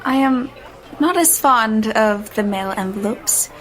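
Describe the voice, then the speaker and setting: A woman's voice: a little high, expressive, a little slow, fairly bright tone. An actress playing a wary, polite young woman in a gothic audio drama, with a hesitant, careful and genteel manner. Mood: guarded. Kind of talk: audio drama acting with narration.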